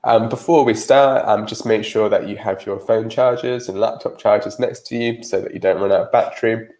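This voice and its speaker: A man's voice: fairly low pitch, fairly quick, darkish tone. A British man with a cold and a nasal voice, giving a live-streamed career webinar presentation with frequent ums.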